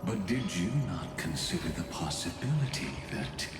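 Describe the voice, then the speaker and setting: A man voicing a cold, scheming game villain with a measured, ominous, philosophical manner. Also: low voice, animated. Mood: cold, taunting. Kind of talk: dramatic video game dialogue. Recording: long echoing reverb, loud music behind.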